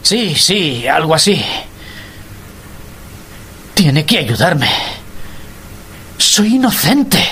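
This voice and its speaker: A man's voice: on the high side, big pitch swings, extremely slow, long pauses, bright, forceful tone, a little hiss or hum, full character voice. A man acting in a radio drama as a desperate young workman who pleads that he is wrongly accused. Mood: desperate pleading. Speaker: voice actor.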